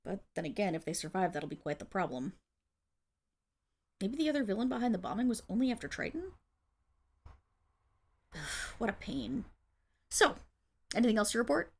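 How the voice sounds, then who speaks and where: Casting: actress as female supervillain for roleplay audio, creaky mid-range voice, dramatic and grandiose yet easily flustered and affectionate. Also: mid-range voice, very animated, normal speed, long pauses, heavily acted. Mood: curious, frustrated. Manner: theatrical villain declaiming that flips into flustered, affectionate chatter. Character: bashful, lovestruck female supervillain rehearsing world domination.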